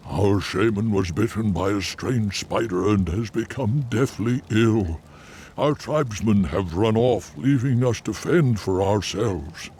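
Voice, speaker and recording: A man's voice: fairly low pitch, measured pace, a little mellow, a little hiss or hum, lightly acted. A man playing an earnest, humble bear-like tribesman in a fantasy video game, speaking formally and respectfully. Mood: worried pleading. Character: earnest male furbolg bear-folk tribesman, pleading and humble. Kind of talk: video game cutscene acting.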